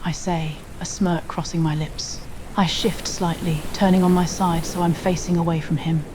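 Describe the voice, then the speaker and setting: A woman's voice: fairly low pitch, level tone, moderate pace. A woman narrating a scripted romantic story in the first person. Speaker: audio roleplay narrator. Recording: faint rain noise bed. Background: rain ambience.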